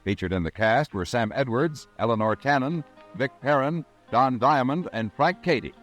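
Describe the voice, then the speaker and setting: Music plays softly behind a man with an American accent and a medium-pitched voice. He is a 1950s radio announcer reading scripted drama credits. His delivery is formal and measured. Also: lively intonation.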